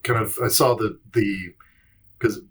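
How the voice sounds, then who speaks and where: A man talks casually on a podcast in a fairly low voice. There is a husky edge to it. He speaks haltingly, often repeating a word while he works out his thought. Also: fairly even intonation, fairly bright tone. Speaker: podcast panellist.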